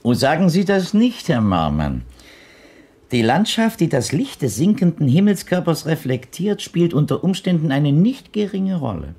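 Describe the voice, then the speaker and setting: An actor playing an old blind gentleman in a radio drama, courtly and gallant, with ornate, formal phrasing. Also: a little high, very animated, normal speed, slightly rough, heavily acted. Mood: insistent, lecturing. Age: older. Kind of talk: German radio drama acting.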